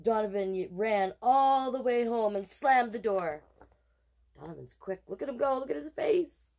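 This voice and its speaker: A woman reading a children's picture book aloud in an expressive, playful way, with casual asides as storytime host. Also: on the high side. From Canada. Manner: expressive, deliberate, playful sound words. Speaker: storytime host and drag king performer.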